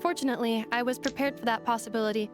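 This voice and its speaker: A woman's voice: on the high side, steady tone, racing, music in the background, natural voice. A woman voicing an earnest female robot companion in a video game, speaking in clipped, formal, machine-like phrases. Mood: flat, factual. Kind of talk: video game character acting.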